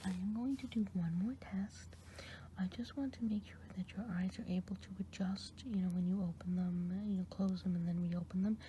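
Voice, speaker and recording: A woman whispering softly as a kindly, chatty forest witch healer in an ASMR roleplay, rambling gently and gossiping. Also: mid-range voice, fairly even intonation, brisk, slight background noise, lightly acted. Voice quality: soft whispering. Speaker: ASMR artist.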